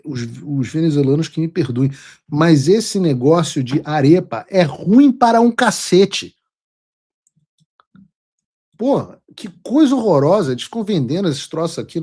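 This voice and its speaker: A man's voice: fairly high pitch, warm tone, husky. A Brazilian man hosting his own show, delivering blunt, mocking political commentary with casual swearing. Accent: Brazilian Portuguese. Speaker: show host.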